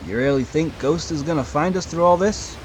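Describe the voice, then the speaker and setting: A man's voice: on the high side, a little fast, a little hiss or hum, voice pushed up, lightly acted. A man voicing anxious, doubting sailors in an audio drama, with a worried, questioning manner. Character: anxious, doubting male sailors rowing a lifeboat.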